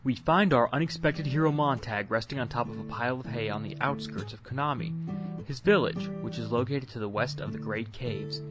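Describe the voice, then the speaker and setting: A man's voice: medium pitch, a little fast, over loud music. A man presenting and narrating his own amateur audio drama, in storybook style with informal announcements.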